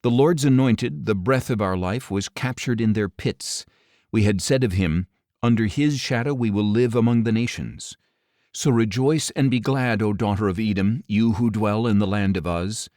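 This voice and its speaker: Male scripture narrator, lower register, solemn and deliberate phrasing, very dry studio-style sound. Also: a little mellow, dry recording. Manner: measured, grave delivery with deliberate phrasing. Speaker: audiobook narrator.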